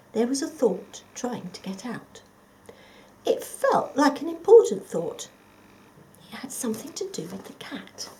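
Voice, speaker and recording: A woman reading a children's story expressively and dramatically, often dropping to a hush. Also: fairly high pitch, poor audio. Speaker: volunteer storyteller.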